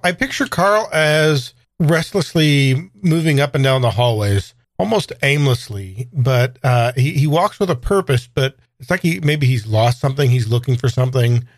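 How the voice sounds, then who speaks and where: A man's voice: mid-range voice, lively intonation, normal speed, hardly any pauses, no room sound. Casual male tabletop player, very dry sound. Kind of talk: casual improvised game talk.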